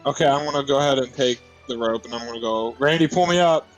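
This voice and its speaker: A man's voice: medium pitch, fast talker, soft background music, rough recording. A man playing a tabletop roleplaying game, chatting casually and bluntly as he says what his character does. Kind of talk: casual, excitable game chat.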